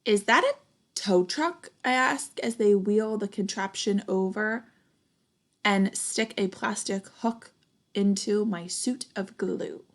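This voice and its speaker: Slow, creaky-voiced woman reading a picture book. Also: mid-range voice. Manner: expressive, deliberate, questions and comments to young listeners. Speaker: storybook reader, teacher. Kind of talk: children's picture book read-aloud.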